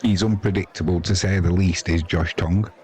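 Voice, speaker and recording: A man with an English accent and a deep voice hosts a live cricket stream. He talks casually and off the cuff, in a matey, rambling way. A faint crowd sits behind his voice. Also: steady tone, normal speed, a little mellow. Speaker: live sports stream commentator and host. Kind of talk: casual ad-libbed live cricket commentary and chat.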